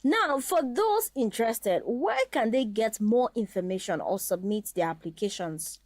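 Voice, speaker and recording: A woman's voice: fairly high pitch, animated, measured pace, a little mellow, dry recording. A woman hosting a scripted promotional interview in a polite, formal way.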